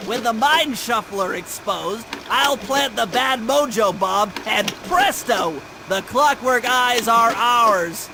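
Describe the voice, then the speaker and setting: A man's voice: very high voice, slow, hardly any pauses, background noise, full character voice. A man voicing a nerdy, fussy young mastermind in a cartoon video game, briefing precisely in a nasal voice. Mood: eager planning. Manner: precise, wordy mission briefing. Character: nerdy young brainy male gadget mastermind, fussy and eager. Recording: loud music behind the voice.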